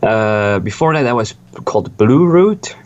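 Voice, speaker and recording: A man's voice: fairly low pitch, lively intonation, measured pace, a little mellow, muffled recording. A young man from Switzerland, a music producer, chatting casually in an interview, rambling and swearing freely with lots of restarts.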